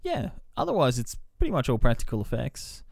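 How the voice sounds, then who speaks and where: Male podcast reviewer, medium pitch, conversational and measured, dry close recording. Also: wildly swooping pitch, vocal fry, darkish tone, dry recording. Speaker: film reviewer and podcast co-host. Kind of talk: casual film discussion.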